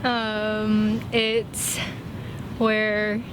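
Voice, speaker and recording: A young university student, a woman of about twenty, answering street interview questions in a measured, careful way outdoors. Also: completely flat delivery. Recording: street noise rumble.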